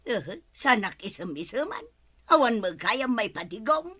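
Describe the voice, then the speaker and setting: A touchy village woman with an Ilocano accent. Her fairly high, sharp voice mutters and huffs in comic radio drama fashion. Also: sing-song, a little slow, breathy edge, exaggerated voice. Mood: huffy. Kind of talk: broadcast comedy radio drama acting.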